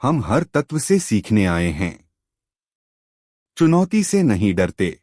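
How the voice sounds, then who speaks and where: Guy doing a confident young fantasy hero, lowish voice, resolute and declaiming. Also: big pitch swings, slow, darkish tone, dry recording, clean studio sound, full character voice. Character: confident, determined young male elemental warrior hero.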